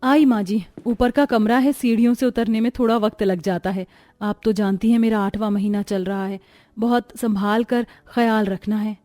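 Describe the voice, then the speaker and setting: A woman's voice: medium pitch, steady tone, moderate pace, close to an everyday voice. A woman as a meek young daughter-in-law. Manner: soft-spoken, deferential, patient. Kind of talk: acted Hindi cartoon story dialogue.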